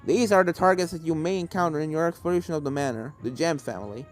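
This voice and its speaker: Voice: medium pitch, fast talker, close to an everyday voice. Scholarly male storybook professor played by the reader, formal, explanatory, kindly yet serious, faint music behind.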